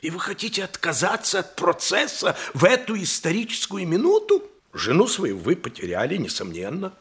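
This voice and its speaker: A man's voice: high-pitched, wildly swooping pitch, moderate pace, a bit bright, full character voice. An actor playing a pompous, bombastic male orator, declaiming indignant accusations in theatrical audio drama style. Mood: urging, grave. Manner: pompous, declamatory, rhetorical questions. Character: bombastic male orator haranguing city fathers with scandalous accusations.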